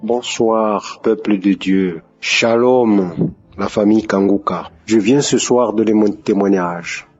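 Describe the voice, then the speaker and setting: A man's voice: fairly low pitch, takes it slowly, darkish tone, slightly rough, muffled recording, soft background music. A man giving an earnest, hesitant religious testimony. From Republic of the Congo. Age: 50s.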